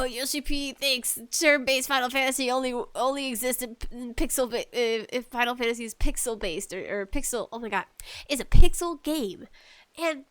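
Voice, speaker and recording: Woman podcast host just chatting, kinda high clear voice, slangy, lots of little asides. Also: fairly bright tone, no echo. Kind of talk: casual solo gaming chat.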